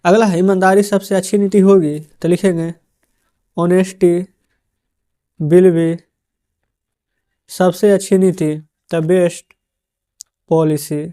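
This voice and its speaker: Slow, mellow-voiced male teacher. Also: sits high, flat delivery. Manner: dictates the answers word by word, addresses viewers as friends. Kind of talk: dictating a translation lesson.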